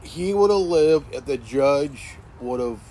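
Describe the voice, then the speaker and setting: Late-forties man, solo talk, slow drawl, hesitant restarts, mid pitch. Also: lively intonation, warm tone, slightly rough, poor audio. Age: 40s. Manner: halting, many fillers and restarts. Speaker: commentary channel host. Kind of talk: casual monologue. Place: sitting in a chair indoors.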